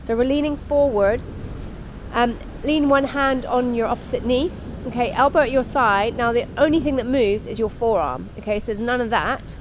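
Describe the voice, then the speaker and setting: A woman's voice: a little high, normal speed, slight background noise. A woman instructor talking through an exercise demonstration in an instructional way, repeating her cues as she goes.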